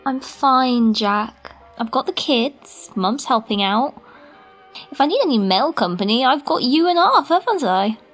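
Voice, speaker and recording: A woman's voice: sits high, lively intonation, a little slow, faint music behind. A woman acting in an amateur radio play as a kind, stoical care worker with a reassuring, familiar manner.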